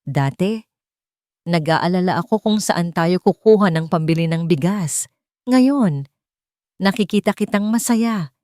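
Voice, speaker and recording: A woman's voice: mid-range voice, darkish tone, no echo, close to an everyday voice. A tender mother speaks lovingly and tearfully to her daughter, acted out by a woman storyteller. It is audio romance acting, recorded dry with hardly any room sound.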